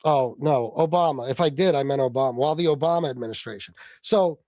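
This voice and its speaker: A man's voice: mid-range voice, fast talker, mellow, no echo. A man hosting a livestream, arguing heatedly with a caller, cutting in and reading facts aloud. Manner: combative, cuts in over the caller; reads search results aloud. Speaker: livestream host. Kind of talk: heated call-in debate.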